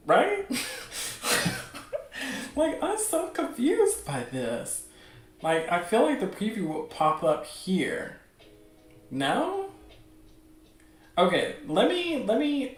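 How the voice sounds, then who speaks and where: A man hosting a show with casual, unscripted commentary, rambling as he thinks out loud. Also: fairly high pitch. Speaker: host of a webcomic news show. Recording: roomy, echoing sound.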